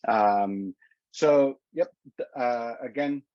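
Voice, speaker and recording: A man's voice: mid-range voice, slow, a little mellow, no room sound. A man walks viewers through trip prices on a webinar, loose and conversational, full of ums and false starts. His voice comes over a dull, lo-fi video call and sounds dry, with no room around it. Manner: casual, many fillers and restarts. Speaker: tour organizer. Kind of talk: webinar presentation. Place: indoors in a city.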